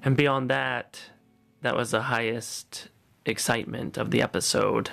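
A man's voice: medium pitch, fairly even intonation, measured pace, gravelly, a bit bright. A man hosting a podcast in casual chat, with jokey, playful asides. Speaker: podcast host.

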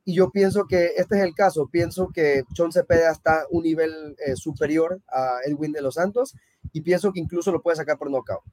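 Slightly high-voiced man, dry close sound. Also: moderate pace, a little mellow, no echo. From Mexico. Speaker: boxing podcast co-host. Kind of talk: casual sports chat.

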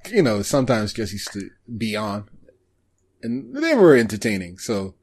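A man hosting a podcast, chatting casually about shows in a halting, hesitant way. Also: on the low side, wildly swooping pitch, gravelly, darkish tone, breathy edge. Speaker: podcast host.

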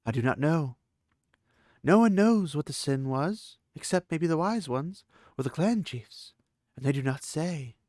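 A man's voice: on the high side, animated, unhurried, darkish tone, no room sound, studio quality, pitched above the performer's own voice. A man voicing a solemn young warrior woman. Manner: solemn, stiffly formal speech. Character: proud formal young female desert warrior.

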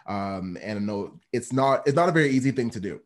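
A man's voice: medium pitch, animated, brisk. A man hosting an interview, encouraging and effusive, often repeating words as he responds. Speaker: interview host. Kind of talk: casual interview talk.

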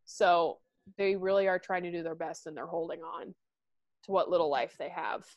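A woman's voice: lowish voice, moderate pace, no echo, highs cut off. Chatty young woman, dry sound. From American Midwest. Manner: chatty, excitable, swears casually. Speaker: podcast co-host. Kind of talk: casual chat.

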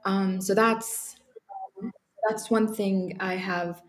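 A woman's voice: mid-range voice, fairly even intonation, extremely slow. A young woman from Libya, talking as an interview guest, hesitant with many ums and restarts. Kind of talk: casual interview talk.

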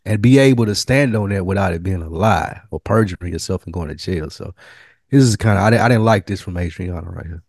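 A man's voice: low-pitched, a little fast, a little mellow, no echo, clean studio sound. A young man co-hosting a podcast, talking in a laid-back, slangy way and giving blunt opinions. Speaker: podcast co-host. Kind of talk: casual chat.

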